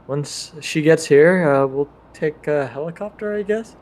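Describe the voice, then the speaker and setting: A man's voice: on the high side, measured pace, a little mellow. A man playing a tabletop game, casually narrating his character's actions in a hesitant, laid-back way. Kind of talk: casual role-play, narrating his character in third person.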